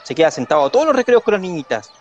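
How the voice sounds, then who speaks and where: A man's voice: high voice, sing-song, very fast, dull audio. A man playing a mocking little Chilean schoolboy bully in a radio drama.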